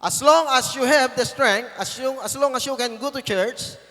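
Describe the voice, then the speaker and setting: A man's voice: very high voice, normal speed, sharp tone, in a large hall, poor audio. A man in his fifties with a Filipino accent, preaching a sermon as guest pastor, emphatic and repeating phrases for stress. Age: 50s. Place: church.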